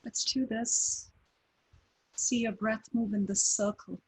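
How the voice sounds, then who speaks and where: Female meditation guide, airy breathy tone, unhurried delivery with long pauses. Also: fairly high pitch, poor audio. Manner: slow, calm, gentle instructions with long pauses. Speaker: meditation teacher.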